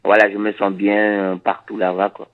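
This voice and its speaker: Man, first language Dutch, from Suriname, deep voice, hesitant repeats, tinny narrow recording. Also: flat delivery. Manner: halting, repeats words, thoughtful. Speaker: reggae musician. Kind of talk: interview answers.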